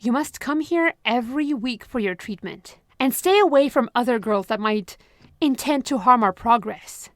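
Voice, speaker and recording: Woman doing a manipulative therapist, high sugary voice, calm and possessive, super dry close mic asmr. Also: normal speed, no room sound. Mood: smooth, controlling. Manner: honeyed, possessive, calmly controlling. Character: manipulative possessive female therapist, sweetly controlling. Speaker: voice actress. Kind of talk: ASMR roleplay audio.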